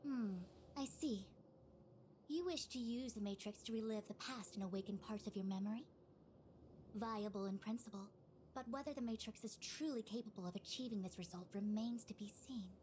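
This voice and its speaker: A woman acting a brisk, self-assured young female diviner in a video game, speaking formally and precisely. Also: mid-range voice, darkish tone, a little hiss or hum, close to an everyday voice. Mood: measured, formal.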